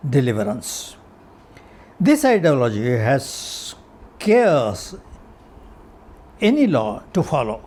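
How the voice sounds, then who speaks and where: A man with an Indian accent reading a scholarly article aloud in deliberate, halting short phrases, his voice husky. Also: mid-range voice, darkish tone. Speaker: reader of a philosophy article. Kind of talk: read-aloud scholarly article with Sanskrit verse.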